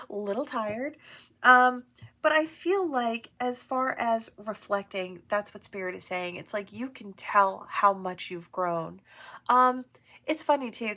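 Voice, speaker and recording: Woman, tarot reader, stuffy nose, gentle, affirming, filler-heavy, mid pitch. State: stuffy from allergies. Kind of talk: channeled oracle reading to camera.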